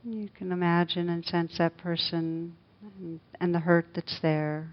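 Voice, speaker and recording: Soft-spoken woman guiding a meditation. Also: lowish voice, level tone. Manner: slow, soft, gentle guidance with long pauses. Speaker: meditation teacher. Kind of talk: guided meditation. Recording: dull lo-fi recording.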